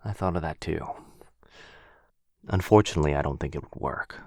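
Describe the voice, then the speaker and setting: An actor playing a protective, brooding vampire lord in an intimate ASMR roleplay, speaking in a hushed, measured, halting way close to the mic. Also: male voice, dry recording. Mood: somber, apologetic. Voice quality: low, gravelly. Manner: hushed, measured, halting pauses, often dropping to breathy murmur. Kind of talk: boyfriend ASMR fantasy roleplay, intimate one-sided dialogue. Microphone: close microphone, dry.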